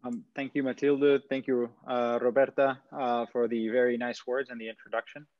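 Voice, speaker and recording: A man's voice: mid-range voice, little pitch movement, normal speed, no room sound. A man in his thirties from Brazil, giving a presentation on a video call, hesitant with many fillers and restarts. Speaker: startup founder. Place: indoors at home, stone wall behind. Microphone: video call webcam. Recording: dull lo-fi video call audio.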